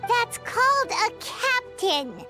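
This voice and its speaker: Casting: tiny excitable game fairy, piercingly high and childlike, cheeky sidekick, loud music underneath. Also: female voice, takes it slowly, exaggerated voice. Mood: relieved, teasing. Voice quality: squeaky, childlike. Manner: speaks of self in third person, exclamatory. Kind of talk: anime game voice acting. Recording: music behind the voice.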